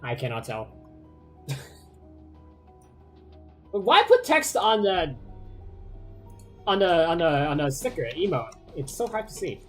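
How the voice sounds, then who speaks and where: A man streaming a game in casual commentary, with a lively, bouncing pitch and quick self-mocking remarks. He trails off and stumbles over repeated words as he reacts, over faint music behind.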